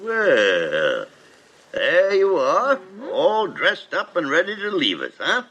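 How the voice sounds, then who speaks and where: An actor playing a gruff, grumpy old doctor in a 1950s radio drama, muttering and exclaiming in a gravelly voice. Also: male voice, fairly high pitch, wildly swooping pitch, slow, pushed and bright, dull audio, soft background music, heavily acted. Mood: fond, flustered. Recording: vintage 1950s radio broadcast.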